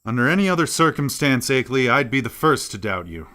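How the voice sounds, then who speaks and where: An actor playing an earnest, astonished professor in a radio play, full of questions. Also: male voice, mid-range voice, sing-song, quick pace, sharp tone. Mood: astonished, questioning. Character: earnest, astonished male professor investigating the uncanny.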